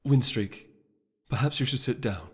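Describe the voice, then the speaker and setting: A man voicing a composed, weary pony princess with measured, formal speech in a fantasy audiobook. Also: medium pitch, lively intonation, slightly rough. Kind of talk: dramatic audiobook character voice.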